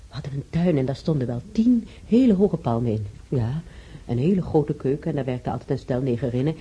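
Very dark-voiced stubborn old woman. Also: deep voice, slightly rough, heavily acted. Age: older. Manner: plain-spoken, reminiscing in short phrases. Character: stubborn, wistful elderly woman patient in hospital. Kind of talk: naturalistic radio drama dialogue.

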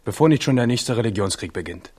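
Rauer, trotziger junger König. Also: male voice, medium pitch, expressive, fast, a little mellow, husky. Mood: defiant, bitter. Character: wary, defiant young king, affectionate but mocking. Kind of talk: radio drama acting.

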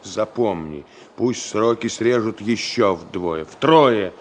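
A man's voice: lowish voice, slow pace. An actor playing a middle-aged senior engineer in a radio drama, scolding and teasing in a folksy, ironic, fatherly way. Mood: stern lecturing. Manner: folksy, ironic, chiding with rhetorical questions. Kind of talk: Soviet radio drama acting. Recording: noisy 1970 radio play recording.

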